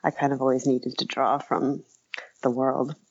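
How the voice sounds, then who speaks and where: Low, creaky-voiced woman in casual conversation. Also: little pitch movement, moderate pace, a little mellow, dull audio. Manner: hesitant, self-effacing, frequent hedging. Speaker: writer, interview guest.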